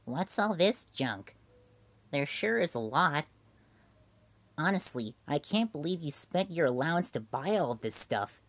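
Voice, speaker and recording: A man voicing a stern, bossy older sister who scolds in a cold, flat way. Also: fairly high pitch, unhurried, no room sound, full character voice.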